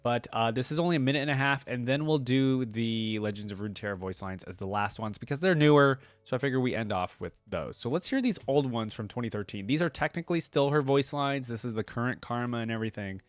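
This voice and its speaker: A man's voice: mid-range voice, fast, hardly any pauses, dry recording. A man giving casual, enthusiastic reaction commentary as a video host, informal and chatty, on a close mic. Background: faint music, game voice lines.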